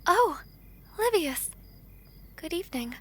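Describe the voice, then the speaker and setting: A woman playing a gentle, earnest young princess in a romantic fantasy audio drama, speaking softly and formally. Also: extremely high pitch, big pitch swings, heavily acted. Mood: warm, grateful. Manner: soft, formal, measured diction with tender pauses.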